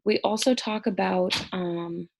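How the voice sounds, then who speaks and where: A woman's voice: mid-range voice, fairly even intonation, unhurried, gravelly, a little mellow, slight background noise. A young woman recording an exam review lecture at home, explaining each topic conversationally while sounding stressed and short on sleep. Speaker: college instructor.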